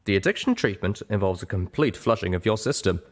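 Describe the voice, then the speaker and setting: A genial stallion doctor, as a male reader plays him, patient and candid with a polite, measured manner. The voice is on the low side, in the style of an audiobook fantasy tale. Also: lively intonation. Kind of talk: audiobook character voice in storybook reading.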